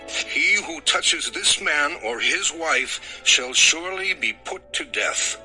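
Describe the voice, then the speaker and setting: A man's voice: on the high side, lively intonation, slow pace, sharp tone, full character voice, low-quality recording. An actor playing an imperious ancient king in a dramatized scripture recording, with an emphatic, declamatory delivery. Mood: commanding. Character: Abimelech, an imperious, indignant Philistine king, male. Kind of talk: dramatized scripture audio acting. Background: music.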